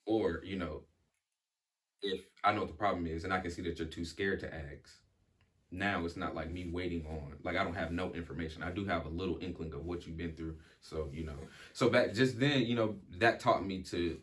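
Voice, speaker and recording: A man's voice: deep voice, brisk, a bit bright. A young man talking reflectively in casual chat as a podcast guest, with many fillers, restarts and an occasional stutter.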